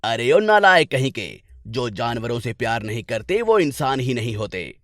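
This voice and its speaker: A wealthy, bossy master from a comic folk tale, as a male narrator puts him on. He lectures and scolds in a middle-pitched, very animated voice, though kindly at heart. The sound is dry and close. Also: normal speed, no room sound. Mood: scolding.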